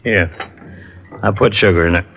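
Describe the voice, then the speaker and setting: Low-voiced, weary police lieutenant. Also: male voice, normal speed, gravelly. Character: steady, tired male police lieutenant. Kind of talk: 1940s-50s radio police drama acting. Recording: muffled old radio with hiss, clicks.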